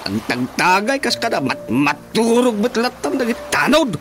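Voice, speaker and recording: A man's voice: high voice, big pitch swings, normal speed, music in the background. A man playing an aggrieved, complaining villager in an Ilocano-accented radio comedy drama. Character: aggrieved male villager robbed of his goat, complaining.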